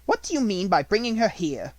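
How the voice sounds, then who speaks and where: Man playing a high-voiced, sharp, domineering headmistress. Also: big pitch swings, a little fast, no room sound. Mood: cold, stern. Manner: sharp, scolding, commanding. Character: harsh, domineering headmistress, sharp-tempered and cold.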